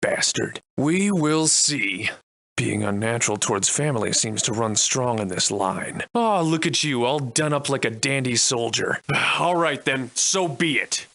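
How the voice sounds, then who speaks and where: An actor playing a wry, defiant Victorian-era adventurer and monster slayer in a video game, soliloquizing in florid, old-fashioned diction. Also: male voice, medium pitch, expressive, measured pace, gravelly, sharp tone, full character voice. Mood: wary, defiant. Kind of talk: theatrical video game voice lines in archaic English.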